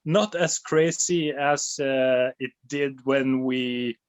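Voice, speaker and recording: A man, telling a story in an interview over a video call, animated and repeating words as he goes. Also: mid-range voice, slow, clean tone, no echo. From Norway. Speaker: documentary director. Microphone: phone or laptop mic on a video call.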